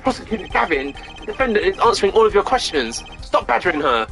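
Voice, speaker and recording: A streamer voicing an earnest young male defense lawyer in a courtroom video game, pressing with forceful delivery. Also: medium pitch, big pitch swings, quick pace, soft background music, pitched above the performer's own voice. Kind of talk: reading game dialogue aloud on stream.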